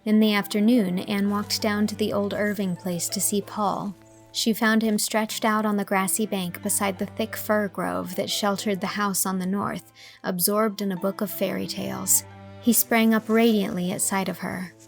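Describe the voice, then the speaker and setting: A woman's voice: medium pitch, flat delivery, normal speed, creaky, faint music behind. A woman reading scripted literary narration as an audiobook narrator.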